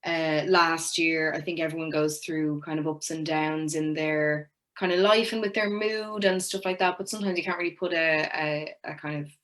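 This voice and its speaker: A woman's voice: sits low, fairly even intonation, moderate pace, few pauses, a bit bright. A young woman with an Irish accent, an actress speaking as a guest, talking with frequent fillers and restarts. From Ireland. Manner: hesitant, many fillers and restarts. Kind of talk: casual interview talk.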